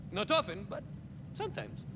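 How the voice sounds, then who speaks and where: An actor playing a swaggering young cyborg soldier of fortune in a video game, a touched flavor, musing theatrically and landing wry deadpan punchlines. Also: male voice, sits high, moderate pace, in a large hall, background noise. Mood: wistful. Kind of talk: video game character monologue.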